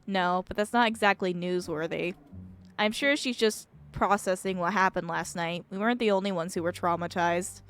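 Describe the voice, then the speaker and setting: A woman's voice: lowish voice, fairly even intonation, moderate pace, smooth, soft background music, natural voice. A woman voicing a stubborn, sarcastic woman who runs a mine, in a curt, dry manner, in a video game. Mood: weary, irritated.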